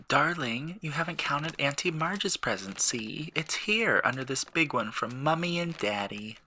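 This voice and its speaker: A man voicing a doting but sharp-tempered suburban mother in a storybook reading. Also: a little high, lively intonation, a little slow, slight background noise, voice pushed up, heavily acted. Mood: coaxing, doting.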